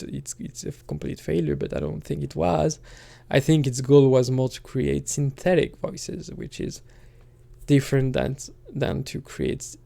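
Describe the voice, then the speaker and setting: A man talking casually on his own channel in a hesitant, halting way, thinking out loud as he goes. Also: medium pitch, creaky. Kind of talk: casual rambling solo talk.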